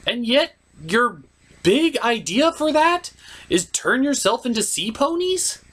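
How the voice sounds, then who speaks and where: A woman delivers a comedic movie recap in a sardonic, exclamatory way. Her pitch swings widely as she makes each point. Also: very animated, slow, a bit bright, husky. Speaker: puppet show reviewer. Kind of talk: comedic movie recap with acted character voices.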